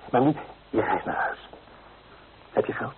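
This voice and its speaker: A man's voice: a little slow, heavy background noise, natural voice. An actor playing a kindly, fatherly newspaper writer in a radio play, reassuring and paternal in manner. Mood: firm, encouraging. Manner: reassuring, paternal, murmured hms. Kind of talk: Dutch radio play acting. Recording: thin, phone-like old radio recording.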